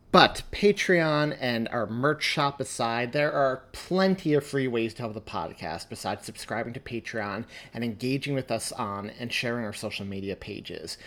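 A man's voice: mid-range voice, a bit bright. A man reads upbeat podcast announcements as host, speaking to listeners in an enthusiastic, emphatic, familiar way.

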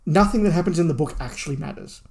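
A man's voice: on the high side, animated, quick pace, creaky, a little mellow. A man co-hosting a podcast, discussing a book casually in an analytical, opinionated way with emphatic repetition. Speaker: podcast co-host.

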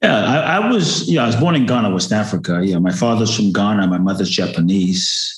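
A man who grew up in London, talking as an interview guest, telling his story with emphasis and sudden high peaks. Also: expressive, brisk. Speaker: guest speaker. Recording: roomy echo.

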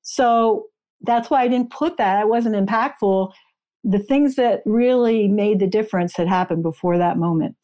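Mellow-voiced woman explaining as an interview guest. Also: medium pitch, moderate pace. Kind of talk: conversational explaining and retelling.

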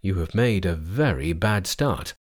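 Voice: sits low, expressive, unhurried. A narrator as a solemn, reproachful priest. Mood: scolding. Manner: grave, moralizing, scolding. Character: solemn, reproachful pious priest, Monkey's master. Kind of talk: storybook character voice.

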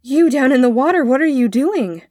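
A woman voicing an earnest, excitable young boy full of wonder in a storybook reading. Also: high-pitched, normal speed, no room sound, clean studio sound, pitched above the performer's own voice. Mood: calling out excitedly.

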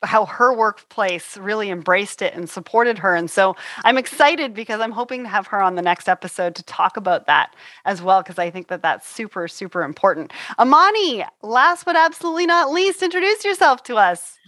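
Upbeat woman, panel host, crisp bright tone, no room sound. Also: medium pitch, normal speed, dry recording. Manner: upbeat, enthusiastic, lively. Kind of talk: panel discussion moderation.

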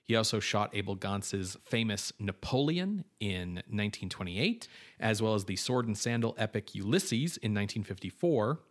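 A man's voice: lowish voice, animated, moderate pace. A man co-hosting a film podcast, reading background notes on cast and crew in an informative way, with casual fillers and asides. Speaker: podcast co-host.

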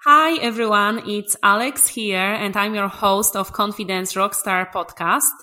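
A woman's voice: medium pitch, a little slow, over loud music. Upbeat woman hosting a podcast. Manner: enthusiastic, motivational. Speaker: podcast host.

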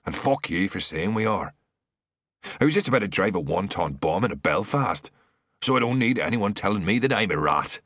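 A man's voice: sits low, expressive, fairly quick, no echo, clean studio sound. A man acting a defiant, hot-tempered paramilitary. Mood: indignant. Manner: indignant, swearing, forceful.

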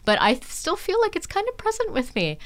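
A woman's voice: extremely high pitch, wildly swooping pitch, fairly quick, a bit bright. A woman hosting a podcast, interviewing in a casual, chatty style. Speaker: podcast host.

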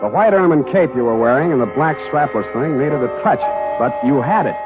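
An actor playing a cocky, sardonic hard-boiled private detective in a 1940s radio drama. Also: male voice, medium pitch, animated, a little fast, phone-quality sound, natural voice. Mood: wry narrating. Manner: sardonic, wisecracking. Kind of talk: 1940s radio acting and first-person narration. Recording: band-limited 1940s radio broadcast with music.

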